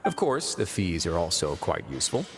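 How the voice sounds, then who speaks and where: Low, shrewd prison warden. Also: male voice, big pitch swings. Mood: composed, explanatory. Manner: measured, formal, matter-of-fact explaining. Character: composed, shrewd male prison warden, courteous and dryly authoritative. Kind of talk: video game voice acting. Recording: game music behind.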